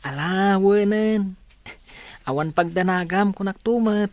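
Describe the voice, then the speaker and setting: A man's voice: high-pitched, unhurried, a little mellow, no room sound. A man acting an obliging, understanding young student in an audio drama. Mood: easygoing.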